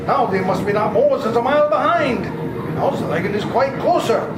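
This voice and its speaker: A man playing urgent, high-pitched vampire hunter. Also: lively intonation, a little fast. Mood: tense narration. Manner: dramatic, urgent, emphatic. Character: urgent, commanding male vampire hunter narrating the chase. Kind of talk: old-time radio drama acting. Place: live performance in a public library. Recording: roomy live stage, music behind.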